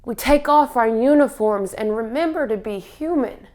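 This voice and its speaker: A woman performing a theatrical spoken-word monologue in a solemn, impassioned manner, with dramatic pauses. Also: on the high side, a little mellow.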